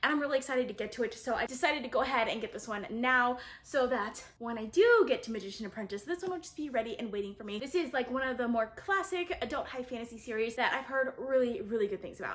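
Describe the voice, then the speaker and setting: A woman with a high-pitched voice talks in the casual, chatty way of an online reviewer. She is enthusiastic and gushing, often doubling up her words for emphasis. The delivery is informal and addressed straight to viewers. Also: expressive, fast, few pauses. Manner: enthusiastic, gushing, frequent "really" and "like". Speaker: book reviewer and vlogger. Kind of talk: casual chatty book haul talk to viewers.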